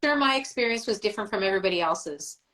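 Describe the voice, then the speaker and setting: A woman's voice: medium pitch, expressive, quick pace, bright, forceful tone. A middle-aged woman, a voice actress, chatting as a guest on a live video call, rambling through memories with fillers and laughs. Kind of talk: casual livestream chat.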